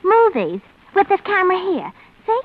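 An actress playing a naive, affectedly refined American dame in vintage radio comedy, polite and genteel. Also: female voice, very high voice, huge pitch swings, normal speed, heavily acted. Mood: chatty, naive. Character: prim, naive, chirpy dame who fancies herself refined. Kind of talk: 1940s-50s radio comedy-adventure acting. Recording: dull old radio recording, faint noise.

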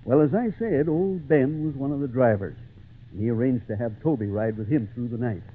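A man's voice: mid-range voice, brisk, natural voice. An actor playing a fatherly storyteller narrating a tale to a boy in an old radio drama. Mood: fatherly, storytelling. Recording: noisy, tinny old radio broadcast.

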